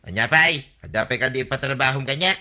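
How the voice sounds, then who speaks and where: An actor playing a put-upon husband who whines and protests, in an Ilocano radio comedy. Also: male voice, medium pitch, fairly quick, pushed and bright. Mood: complaining. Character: put-upon, complaining husband bossed around by his wife.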